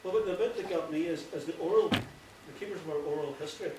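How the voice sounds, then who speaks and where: A man with a Northern Irish accent giving an informal, earnest speech, often halting and repeating himself. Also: on the high side. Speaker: playwright giving a thank-you speech. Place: echoey indoor hall before an audience. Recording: very echoey, distant, noisy with clicks.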